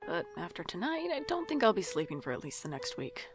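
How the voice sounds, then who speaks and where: Woman acting anxious, sarcastic low-voiced shop worker. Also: big pitch swings, fast. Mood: uneasy, musing. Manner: wry, exasperated. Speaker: podcast drama lead and narrator. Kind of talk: audio drama dialogue and first-person narration.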